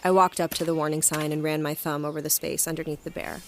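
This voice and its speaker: A woman's voice: low-pitched, level tone, quick pace, noisy background. An actress playing a guarded, anxious woman field agent who narrates her story in an audio drama. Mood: anxious, reflective narration. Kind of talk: audio drama acting with first-person narration. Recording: music under the voice.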